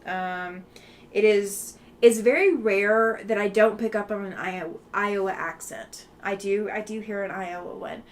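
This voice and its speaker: A woman's voice: mid-range voice, measured pace, slight background noise. Chatty woman with a faint Southeastern lilt. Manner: chatty, reading out and answering viewer comments. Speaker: livestream host. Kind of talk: casual livestream chat.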